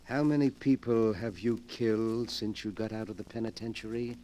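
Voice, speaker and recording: A man's voice: fairly low pitch, moderate pace, a little hiss or hum, lightly acted. A man playing a smug, scheming schemer. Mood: coaxing. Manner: calm, smug, coaxing. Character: scheming, smug male collector of killers' relics. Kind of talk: 1940s radio acting.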